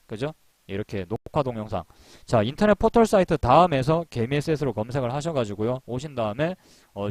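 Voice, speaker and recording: A man's voice: medium pitch, darkish tone, dry recording. A man giving a casual recorded stock trading lecture, chatty and repetitive, with rhetorical questions and small laughs. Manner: chatty, repeats himself, laughs at own jokes; rhetorical questions, frequent fillers. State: sore throat from long phone calls.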